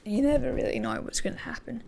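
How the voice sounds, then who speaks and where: A woman hosting a casual football podcast, her voice croaky, thinking aloud with many hesitations. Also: a little high, quick pace, darkish tone, a little hiss or hum. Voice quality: gravelly. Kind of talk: casual pre-match football talk.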